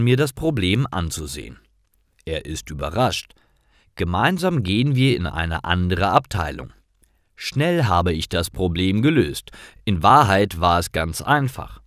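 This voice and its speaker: Male narrator for learner stories: deep-ish voice, dry close sound, deliberate delivery. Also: no echo.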